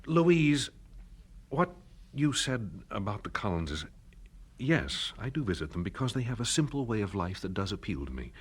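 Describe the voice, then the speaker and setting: An actor playing an earnest, regretful businessman husband in a 1970s radio drama, gentle and pleading. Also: lowish voice, very animated, normal speed, breathy edge.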